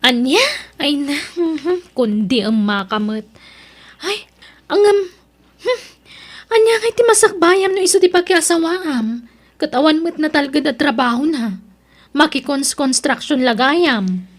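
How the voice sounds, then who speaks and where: A woman's voice: high voice, animated, a little slow. A woman playing a boastful, gossipy young woman in an audio drama, haughty and belittling, with an Ilocano accent. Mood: prying. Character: boastful, gossipy young woman proud of her professional boyfriend.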